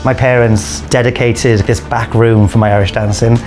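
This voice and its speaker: A man talks casually and at ease in an interview, poking gentle fun at himself. His voice sits at a middling pitch. Music plays clearly behind him. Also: little pitch movement, moderate pace. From Wales. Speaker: Irish dancer in a comedy circus show.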